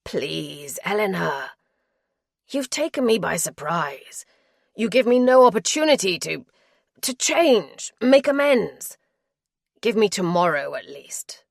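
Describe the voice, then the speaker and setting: A woman's voice: mid-range voice, sing-song, slow, gravelly, no echo, clean studio sound, exaggerated voice. A woman voicing a cunning husband who begs urgently not to be left. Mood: pleading. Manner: urgent, begging. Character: pleading, cunning husband, grown man. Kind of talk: audiobook character voice.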